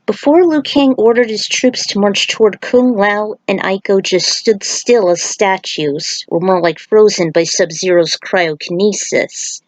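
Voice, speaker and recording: A woman's voice: mid-range voice, measured pace, hardly any pauses, no room sound, rough recording. A woman narrating her own fan fiction, moving between casual recap and reading aloud.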